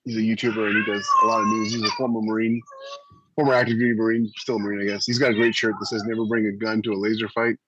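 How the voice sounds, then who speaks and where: A man's voice: lowish voice, level tone, rapid-fire, a bit bright, dry recording, dull audio. A man co-hosting a podcast, chatting casually with the others on the panel. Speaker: podcast co-host.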